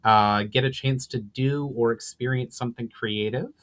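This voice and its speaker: A man speaks as an author and host in casual chat to his audience. He is friendly and a little hesitant, with a mid-pitched voice. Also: fairly even intonation, normal speed, fairly bright tone. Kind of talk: casual sign-off chat to viewers.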